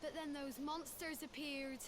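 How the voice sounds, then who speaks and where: High-voiced sheepish village child. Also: female voice, fairly even intonation, measured pace, background noise. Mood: apologetic, sheepish. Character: sheepish, timid young village child in a fantasy adventure. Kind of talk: video game voice acting.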